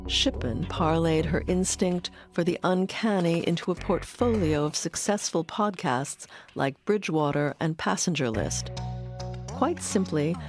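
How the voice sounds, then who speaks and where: A woman's voice: fairly low pitch, a little mellow. Woman narrating a documentary over music. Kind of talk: scripted narration.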